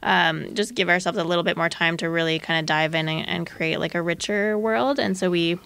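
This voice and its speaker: A woman's voice: on the low side, fairly even intonation, fairly quick, creaky, a bit bright, clean tone, dry recording. A woman being interviewed, talking informally and tentatively about her work, often correcting herself. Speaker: interview guest, audio fiction producer. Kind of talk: casual interview chat.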